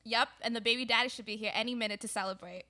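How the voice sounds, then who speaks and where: A cheerful peacekeeping aunt in a radio play, acted by a woman. Her voice is fairly high and very bright, and she smooths things over at the family dinner. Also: steady tone, rapid-fire. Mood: excited. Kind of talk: amateur live radio drama acting. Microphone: microphone on stand.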